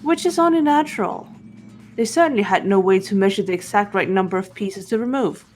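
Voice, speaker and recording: A woman voicing a calm, cool-headed young female detective who lays out her reasoning in a fan dub of a game. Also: mid-range voice, soft background music, lightly acted. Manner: measured, explanatory.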